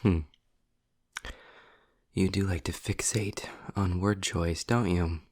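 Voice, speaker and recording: A sardonic, weary demon, voiced by a man, speaks in a low register. The delivery is slow and deliberate, with breathy drops toward a whisper. It has the close, dry-witted feel of an intimate roleplay audio drama. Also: fairly even intonation, a little mellow. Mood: dry, irritated. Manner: sarcastic, deliberate, sighing. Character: sardonic, world-weary male demon trapped in hell.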